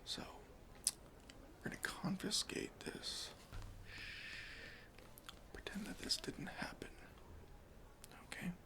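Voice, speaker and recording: A man whispering an ASMR roleplay as a deadpan airport security officer, breathy and deliberate, with pauses. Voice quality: breathy whisper with some fry. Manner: slow, hushed, deliberate, with pauses.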